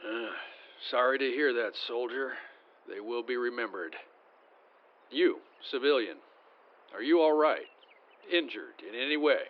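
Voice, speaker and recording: A man playing a brisk, cocky American military scout in an audio drama. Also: medium pitch, animated, highs cut off, close to an everyday voice. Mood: official, concerned.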